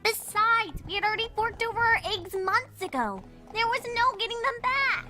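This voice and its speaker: A woman voicing plucky, matter-of-fact young fillies in an earnest, animated way for a fan fiction reading. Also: very high voice, normal speed, over loud music. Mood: gossipy.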